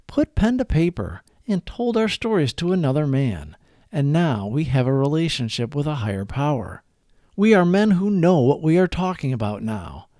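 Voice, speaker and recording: A man with a slightly high voice reads a scripted reflection aloud in very lively, varied intonation. His delivery is earnest and measured, each phrase set out deliberately. The sound is close and dry. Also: no echo. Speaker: host of a daily recovery reading.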